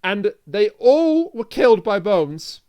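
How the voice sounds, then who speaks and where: A man co-hosting a podcast, casually recapping an episode and bantering, halting a little as he explains. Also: sits high, big pitch swings, mellow, clean tone. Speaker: podcast co-host.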